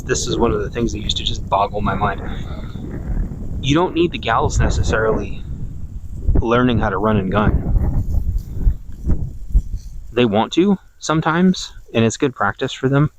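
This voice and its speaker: Low-voiced man talking quietly outdoors. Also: background noise. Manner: low, quiet, deliberately not projecting. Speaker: prepping vlogger. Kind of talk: rambling casual monologue. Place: outdoors in a yard, watering trees.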